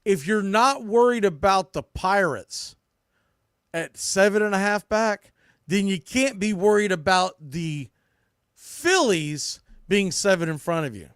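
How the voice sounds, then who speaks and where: Man, sports podcast host, slightly high voice, casual commentary, opinionated, emphatic, informal, very dry sound. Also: expressive, measured pace, fairly bright tone, clear, resonant tone, no echo.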